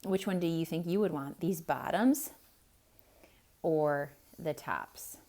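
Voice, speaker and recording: A woman reading a picture book aloud to children in an expressive style, pausing to ask the listener questions. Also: low voice. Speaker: children's storyteller. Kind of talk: theatrical read-aloud for kids.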